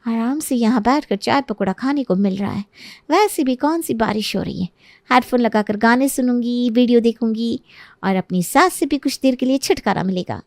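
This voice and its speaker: Woman as a breezy, high-voiced selfish young wife. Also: moderate pace, darkish tone, no room sound, clean studio sound, close to an everyday voice. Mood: breezy, dismissive. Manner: breezy, dismissive, complaining. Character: selfish, lazy young daughter-in-law, breezy and entitled. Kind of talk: Hindi animated moral story acting.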